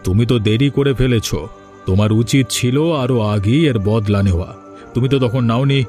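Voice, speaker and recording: A man playing a scheming older village uncle in a Bengali cartoon, speaking in a deliberate, conspiratorial way. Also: medium pitch, a little mellow, faint music behind, lightly acted. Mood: spiteful.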